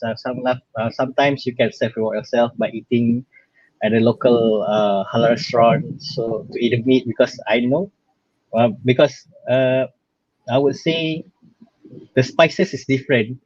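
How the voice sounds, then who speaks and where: A man's voice: medium pitch, fairly even intonation, normal speed, a little mellow, no echo. A young Malaysian-accented man informally presenting his own experience as a guest, in a hesitant way with frequent fillers. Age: young adult. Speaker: guest speaker, exchange student alumnus. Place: video call. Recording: slightly dull, lo-fi online-call audio.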